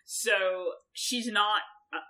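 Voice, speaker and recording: A woman's voice: mid-range voice, very slow, pushed and bright. A woman from Germany with a mixed German, American and English accent, explaining things in casual chat as a podcast co-host and swearing now and then.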